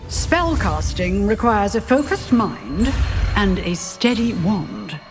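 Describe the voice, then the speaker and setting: A woman's voice: mid-range voice, lively intonation, slow, music in the background. An actress playing an older witch professor in a video game, poised, formal and wry, with a British accent. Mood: instructive. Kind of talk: video game character acting.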